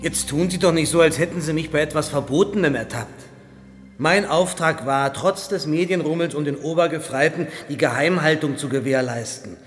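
An actor playing a stern, defensive and cynical army colonel in a radio drama, with sardonic, clipped official phrasing. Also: male voice, fairly high pitch, normal speed, big-room sound, soft background music, close to an everyday voice. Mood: defensive, indignant. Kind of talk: German radio drama dialogue.

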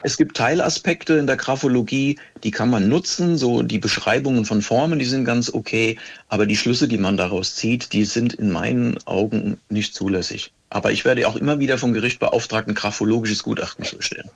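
A man, answering interview questions as an expert in a measured, matter-of-fact way with careful phrasing. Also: mid-range voice, vocal fry, breathy edge. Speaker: police handwriting expert, interview guest.

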